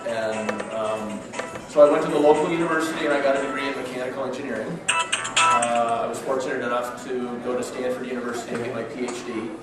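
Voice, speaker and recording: A man in his fifties from Northern California, hoarse with a cold, giving an earnest campaign speech in a restaurant. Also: medium pitch, fast talker, loud music behind the voice. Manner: earnest, steady, heavy with figures. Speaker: political candidate. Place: restaurant function room. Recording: echoey room from far off, crowd murmur. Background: crowd chatter.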